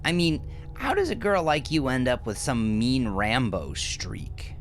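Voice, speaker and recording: Casting: cocky street hustler, performed by a male narrator, stammering and profane, pitched up from a gravelly base, very animated. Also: a little slow, soft background music. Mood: probing. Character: cocky, fast-talking male street hustler, nervous but earnest.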